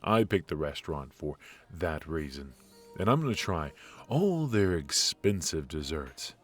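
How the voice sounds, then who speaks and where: A man voicing a charismatic ex-mafia rogue in an ASMR roleplay, his voice extremely deep and his delivery slow and unhurried. He teases and flirts playfully, but he is stiff and worn from his injuries. Faint music plays behind him. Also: very animated, exaggerated voice. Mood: confiding.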